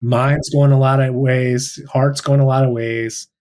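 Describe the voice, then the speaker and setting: Teacher guy talking slow and reflective, stops and restarts a lot, mid voice, on a video call. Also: mid-range voice, little pitch movement, darkish tone. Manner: thoughtful, halting, many "like"s and restarts. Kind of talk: reflective personal sharing.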